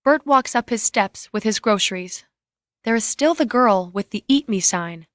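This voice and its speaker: A woman's voice: medium pitch, lively intonation, normal speed, dry recording, studio quality. A woman narrating scripted screenplay scene directions in a matter-of-fact way.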